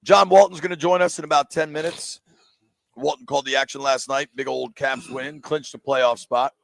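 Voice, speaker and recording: A man's voice: mid-range voice, fairly even intonation, normal speed, gravelly, no room sound, poor audio. A man hosting a live sports radio show, joking and teasing while running through bracket picks. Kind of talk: casual sports radio banter. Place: sports bar, live broadcast.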